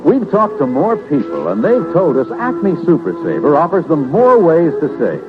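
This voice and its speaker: Very animated ad man, tinny old radio. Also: a little high, normal speed. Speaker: commercial announcer. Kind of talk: upbeat scripted radio ad read. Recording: tinny vintage radio ad with music.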